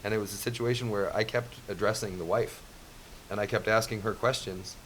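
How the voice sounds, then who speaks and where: A man telling a casual story on a podcast, halting and restarting as he goes and leaning on "you know." His voice is very low. A faint hiss sits behind him. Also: fairly even intonation, low-quality recording. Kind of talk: casual anecdote.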